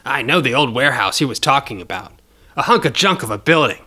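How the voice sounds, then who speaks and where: A man's voice: mid-range voice, lively intonation, fast talker, sharp tone. A man voicing a cocky, bloodthirsty young cyborg bounty hunter in an audio story, with a swaggering manner. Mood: gloating.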